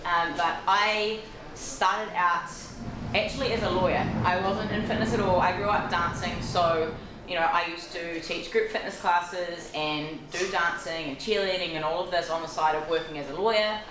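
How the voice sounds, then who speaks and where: A woman's voice: fairly low pitch, fairly even intonation, normal speed. A woman with a New Zealand accent, a fitness coach introducing herself candidly at a seminar. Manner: candid, self-deprecating. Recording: very echoey room, mic far off, background noise.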